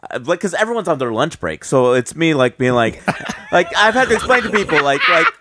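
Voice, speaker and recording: A man on a comedy podcast telling rambling stories, full of filler words, dropping low for deadpan lines. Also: fairly quick, dry recording. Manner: rambling, lots of likes, drops low for deadpan quotes. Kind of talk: casual comedy storytelling.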